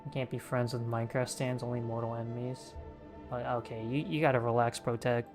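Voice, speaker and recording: Mid-pitched male game streamer, casual. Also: flat delivery, brisk. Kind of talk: casual livestream game commentary. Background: game music.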